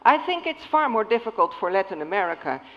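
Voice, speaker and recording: A woman's voice: mid-range voice, very animated, fast, slight background noise. A woman from Suriname whose first language is Dutch, speaking earnestly from the audience at a panel discussion. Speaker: audience member, former member of parliament. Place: conference hall. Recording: muffled audio in a reverberant hall.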